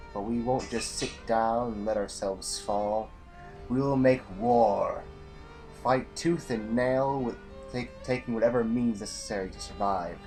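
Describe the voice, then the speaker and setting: An actor playing a grim, stubborn general in a science fiction audio drama, speaking in formal, solemn phrases. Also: male voice, fairly low pitch, a little mellow, close to an everyday voice, rough recording. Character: grim, stubborn male military general facing a last stand.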